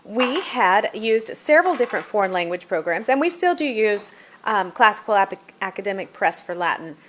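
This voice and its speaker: A woman giving a casual product review as a homeschooling mother, chatty and demonstrative, thinking aloud as she goes. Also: mid-range voice.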